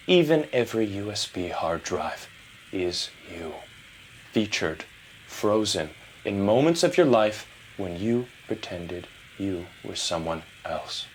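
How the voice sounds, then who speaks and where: A man's voice: lowish voice, a little hiss or hum, low-quality recording. An actor playing a smug, delusional male movie star in an audio drama, theatrical and seductive, slipping between whispers and bursts. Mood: ominous.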